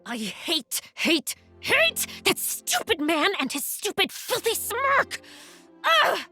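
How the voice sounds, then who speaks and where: Fiery, high-pitched young woman commander. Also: big pitch swings, slow pace, bright, forceful tone, soft background music, full character voice. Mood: furious. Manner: vehement, emphatic. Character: hot-headed spirited young female commander, vengeful. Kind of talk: video game cutscene acting.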